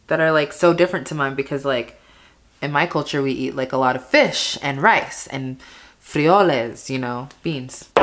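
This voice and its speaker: A woman's voice: sits low, a bit bright. A young woman in her twenties chatting casually while doing her makeup at home, talking in a rambling way full of "like" fillers, close to the camera. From family from Panama, raised in America. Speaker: beauty vlogger. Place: bedroom at home, indoors.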